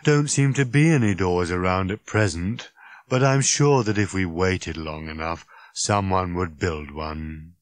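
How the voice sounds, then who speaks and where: Storybook robot: ancient and miserable, low hollow rasp, dragging every word out in gloomy complaint. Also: male voice, no room sound. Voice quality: hollow, rasping. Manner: slow, groaning, droning complaint. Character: worn, ancient, gloomy, self-pitying male robot of scarred metal.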